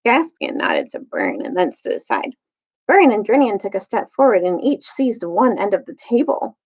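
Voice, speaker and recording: Halting woman storyteller, dull lo-fi sound. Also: medium pitch, wildly swooping pitch, darkish tone, slightly rough. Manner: halting, searching for words, self-correcting. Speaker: storytime teacher reading aloud. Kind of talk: casual recap and storybook read-aloud. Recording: dull lo-fi recording.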